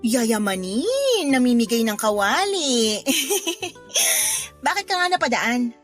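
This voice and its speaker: A woman with a Filipino accent playing a sassy, defensive, thrifty neighbour in a radio drama, expressive and sarcastic, over music. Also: fairly high pitch, slow. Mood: breezy, teasing. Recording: music bed under the voice.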